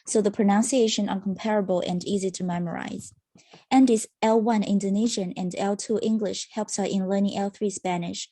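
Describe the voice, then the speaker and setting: A woman's voice: mid-range voice, mellow, dry recording. A woman student presenter, a native Mandarin speaker, reading a prepared academic presentation formally.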